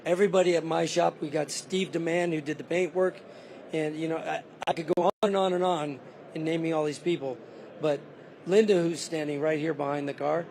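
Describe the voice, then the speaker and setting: A man's voice: on the high side, fairly even intonation, a little fast, vocal fry, darkish tone, slight background noise. Man with a fading voice, walking through a custom car. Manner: detailed, matter-of-fact. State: losing his voice. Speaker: car builder. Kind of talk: walkaround explanation of a custom car. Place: convention show floor.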